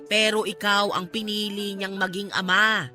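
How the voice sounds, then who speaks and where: An actress playing a warm-hearted, insistent mother and neighbour in a radio drama, persuasive and emphatic, with a Filipino accent. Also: mid-range voice, steady tone, normal speed, lightly acted. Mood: earnest, insistent. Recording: music behind the voice.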